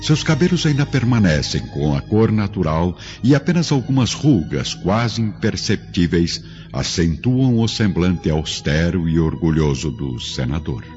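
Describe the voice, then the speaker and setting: A man's voice: deep voice, lively intonation, a little slow, hardly any pauses. A man narrating a radio drama from a script in a grave, formal, theatrical storytelling manner. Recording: dull band-limited audio with music behind.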